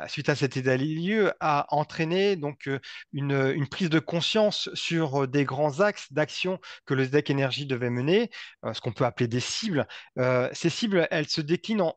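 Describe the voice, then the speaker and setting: A man giving a semi-improvised technical presentation, speaking haltingly and often repeating and rephrasing his words. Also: fairly high pitch, animated, no room sound. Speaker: presenter from a local energy body.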